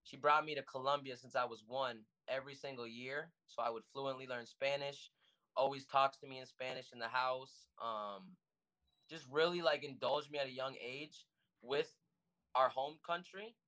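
A man's voice: mid-range voice, steady tone, normal speed, pushed and bright. A young man from the United States, a guest musician chatting loosely on a podcast, rambling with lots of fillers and restarts. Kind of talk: casual podcast interview.

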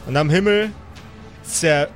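A man's voice: on the high side, slow. A man narrating as game master in a dramatic, improvised storytelling style. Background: music.

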